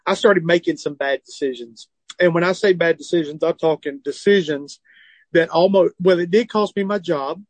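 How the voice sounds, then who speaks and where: Southern comedian in his forties, lightly high voice, dry close sound, animated and earnest storytelling. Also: normal speed, dry recording. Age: 40s. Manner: animated, earnest, self-deprecating humor. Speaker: comedian and author, guest. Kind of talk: phone or remote interview, candid storytelling. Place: tour bus.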